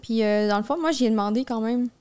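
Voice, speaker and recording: A woman in her thirties with a Quebec French accent, her voice sitting a little high. She speaks openly and laughs often as a podcast guest. She is recorded on a boom-arm studio mic in a small room. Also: quick pace, darkish tone, clean tone. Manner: candid, laughs easily while telling stories. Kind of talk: casual chat. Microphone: studio microphone on a boom arm.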